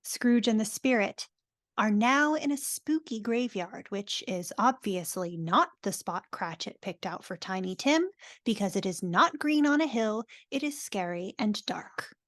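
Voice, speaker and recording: A woman's voice: mid-range voice, animated, measured pace, fairly bright tone, no echo, studio quality. A woman narrating stage directions for a live dramatic reading in a wry, informal way.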